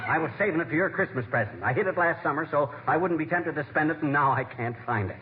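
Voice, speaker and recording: A man's voice: a little high, expressive, quick pace, natural voice. A man acting a bumbling, exasperated husband given to wisecracks in 1940s radio comedy style, folksy and slangy. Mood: blustering frustration. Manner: folksy, slangy, blustering, exaggerated self-deprecation. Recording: scratchy, tinny old-time radio recording, heavy noise.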